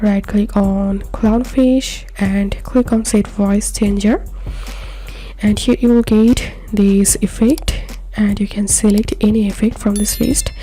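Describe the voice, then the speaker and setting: Slow, mellow woman narrating a software tutorial. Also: mid-range voice, steady tone, poor audio. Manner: simple step-by-step instructional delivery. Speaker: tutorial host.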